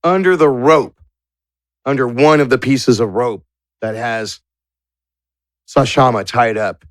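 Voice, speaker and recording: A man narrating a tabletop game as game master, searching for words in drawn-out descriptive phrases. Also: mid-range voice, no room sound, clean studio sound.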